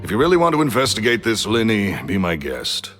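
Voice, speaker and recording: A deep-voiced, smug man, condescending as he warns, his low voice still rising and falling. Video game dialogue with soft game music. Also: big pitch swings, brisk. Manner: smug and warning. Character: cold, condescending older man giving a warning.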